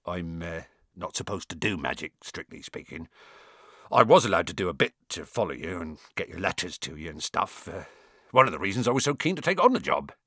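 A man voicing a big, gruff, warm-hearted giant gamekeeper with rustic colloquial speech, in an audiobook. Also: deep voice, sing-song, fairly quick, dry recording, studio quality, voice pushed down, full character voice. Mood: sheepish, confiding. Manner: rustic colloquial speech, hesitant mumbles, growling and thundering. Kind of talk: audiobook character acting.

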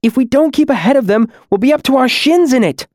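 A man voicing a nervous, sharp-tongued woman in a demon mask, hissing urgently in an audiobook story. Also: fairly high pitch, expressive, moderate pace, no room sound, studio quality, pitched above the performer's own voice, natural voice.

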